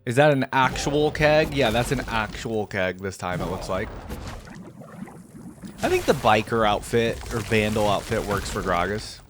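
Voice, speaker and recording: A man's voice: fairly low pitch, measured pace, over music. A man giving casual reaction commentary as a video creator, thinking aloud and hedging his opinions as he goes.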